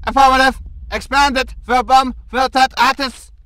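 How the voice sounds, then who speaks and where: A man's voice: very high voice, little pitch movement, normal speed, fairly bright tone, clean tone, no room sound. A man playing a zealous, pompous ship computer in a science fiction comedy audio drama. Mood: obedient, formal. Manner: pompous, declamatory. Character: zealous ship computer obeying a greater intelligence.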